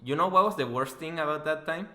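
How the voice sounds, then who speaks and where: Bright-voiced man hosting, in an echoey room. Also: fairly high pitch, quick pace. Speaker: podcast host, interviewer. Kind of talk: casual chat.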